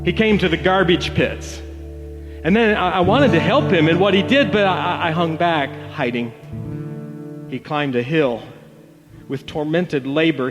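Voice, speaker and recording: A man telling a devotional parable as a dramatic storyteller, theatrical and emotive, with weighty pauses. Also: a little high. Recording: long reverb, music bed behind voice. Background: acoustic guitar music.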